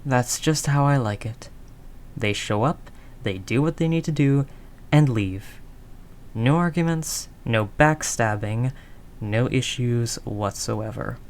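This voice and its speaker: Guy doing a mafia boss for a roleplay audio, medium pitch, slangy and world-weary but sweet, teasing with pet names. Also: animated, slow pace, a little mellow. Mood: musing, assured. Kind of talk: intimate scripted roleplay audio drama monologue.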